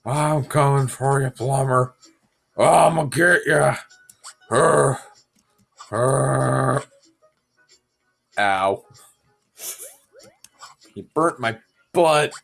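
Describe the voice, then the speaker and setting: Young man, sick, deeper voice than usual, goofy gameplay commentary, slow drawl. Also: gravelly, a bit bright, soft background music. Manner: goofy, self-mocking, talks to viewers. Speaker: gamer.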